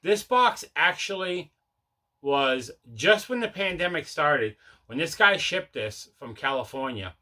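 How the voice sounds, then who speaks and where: A man's voice: on the high side, lively intonation, a little slow, sharp tone. A man hosting a casual unboxing vlog, chatting enthusiastically and often repeating himself. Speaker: host of a collecting video show.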